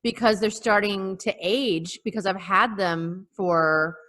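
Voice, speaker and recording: A woman's voice: mid-range voice, fairly even intonation, slow pace, fairly bright tone. A woman co-hosting a podcast, chatting casually over a video call. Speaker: podcast co-host. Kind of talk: casual chat.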